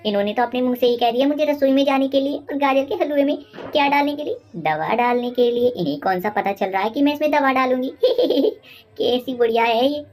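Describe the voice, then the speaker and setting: A woman voicing a sly, wheedling maidservant with a dramatic manner in an animated moral story. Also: high-pitched, fairly quick. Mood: sly, gleeful. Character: sly, pleading maidservant feigning hunger.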